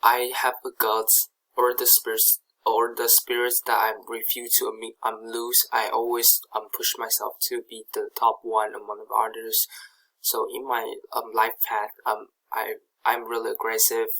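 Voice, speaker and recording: A man's voice: medium pitch, monotone, moderate pace, pushed and bright, low-quality recording. A young man from Taiwan, a native Chinese speaker with a Taiwanese accent, giving a slide presentation in a hesitant way, with many fillers and restarts. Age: young adult. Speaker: student presenter.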